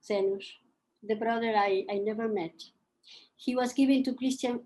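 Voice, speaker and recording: An older woman with Polish as her first tongue, from Argentina, reading a personal account slowly and with feeling as a panel speaker. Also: fairly high pitch, darkish tone, low-quality recording. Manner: slow, measured and emotional.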